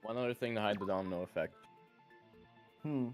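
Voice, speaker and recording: A man's voice: lowish voice, a little fast, faint music behind. A man co-commentating a game stream in casual, jokey, sweary banter.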